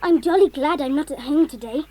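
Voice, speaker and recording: Woman as excitable tomboy girl, very high-pitched. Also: a little fast, natural voice. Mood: indignant, eager. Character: eager, strong-willed tomboy girl, devoted to her dog. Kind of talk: children's audio drama acting.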